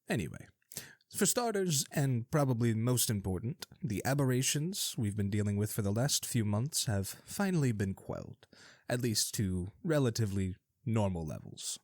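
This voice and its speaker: A man's voice: mid-range voice, expressive, normal speed, a little mellow, no room sound. A man hosting a scripted fantasy radio show, delivering the news in a wry, theatrical way with dry asides.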